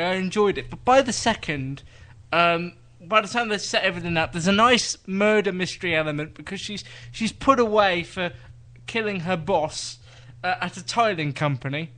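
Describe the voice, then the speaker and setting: A man's voice: high voice, lively intonation, normal speed, bright, forceful tone. A man co-hosting a live TV podcast, reviewing shows in casual chat with dry teasing asides.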